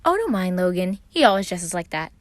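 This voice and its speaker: A woman's voice: fairly low pitch, sing-song, brisk, no room sound. A woman voicing an eager, dramatic, exuberant young man, a fussy boyfriend, in an audio story. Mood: eager, cheerful.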